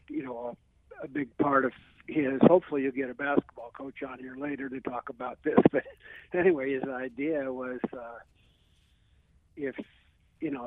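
A man in his seventies with an American accent talks over a thin, tinny phone line. His voice is gravelly and swings up and down in pitch. He reminisces casually, halting and rambling as he goes. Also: no room sound. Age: 70s. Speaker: phone-in guest, retired PE teacher and coach. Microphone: telephone.

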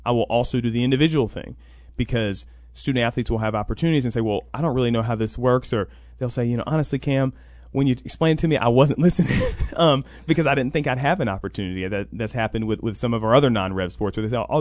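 A man with a medium-pitched voice gives chatty interview answers as a guest. He explains things through little quoted exchanges. The sound is dry and close, with little room. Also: fast talker, a little mellow, no room sound. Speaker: interview guest, college NIL program coordinator. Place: radio sports talk show.

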